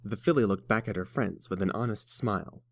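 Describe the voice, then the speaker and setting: A man's voice: fairly low pitch, a little fast, no room sound. Man narrating a story, dry close sound.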